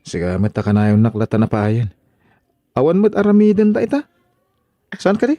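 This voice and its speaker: Actor as mellow filipino office guy, ilocano accent, jealous and suspicious, expressive, drama series. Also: mid-range voice, brisk, no room sound, lightly acted. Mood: eager, let down.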